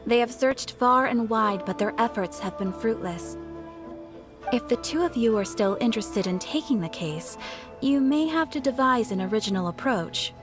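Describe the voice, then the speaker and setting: Woman as high-voiced, formal guild receptionist. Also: expressive, moderate pace, over music, lightly acted. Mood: courteous, apologetic. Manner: formal, courteous, explanatory. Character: formal, composed female adventurers' guild receptionist. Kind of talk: video game voice acting.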